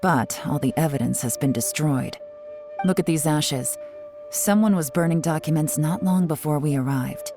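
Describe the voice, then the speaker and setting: An actress playing a shrewd, self-assured investigator in an anime video game, composed and deliberate. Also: female voice, deep voice, music in the background. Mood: matter-of-fact. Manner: composed, matter-of-fact, deliberate.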